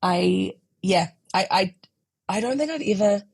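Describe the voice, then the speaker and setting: A woman's voice: mid-range voice, lively intonation, measured pace, creaky, a little mellow, breathy edge, no room sound. A young adult woman comedian with a New Zealand accent, chatting in a rambling, filler-heavy way on a podcast. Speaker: comedian guest. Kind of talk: casual podcast chat.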